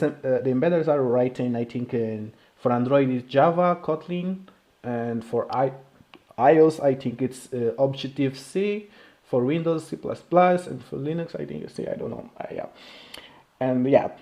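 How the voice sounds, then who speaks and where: A man with a non-native English accent giving an informal technical tutorial in a halting, repetitive way, often checking in with a short question. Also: medium pitch, warm tone. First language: not English. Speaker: programming video creator.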